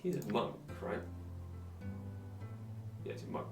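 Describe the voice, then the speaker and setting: A man's voice: slow, far from the mic. A man giving casual live game commentary, muttering wryly to himself as he plays. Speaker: game streamer. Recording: roomy, off-mic, music behind. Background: game music.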